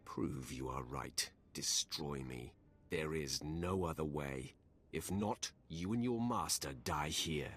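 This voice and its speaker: A menacing dark lord in a space fantasy game. He is extremely deep and rough-edged, and he commands and tempts with cold deliberation. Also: slow, heavily acted. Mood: taunting. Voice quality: very deep, husky. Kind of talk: video game voice acting.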